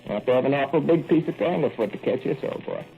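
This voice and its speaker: An actor playing a young, dreamy plumber's helper with a gravelly voice, drawling in 1940s radio drama style. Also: male voice, on the high side, fast, slight background noise, natural voice. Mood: playful. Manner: drawling, daydreaming small-town talk. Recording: tinny old radio broadcast with faint music.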